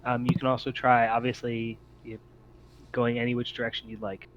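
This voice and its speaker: A man improvising narration as game master of a tabletop roleplaying game, often halting as he thinks aloud. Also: medium pitch, monotone, dull audio.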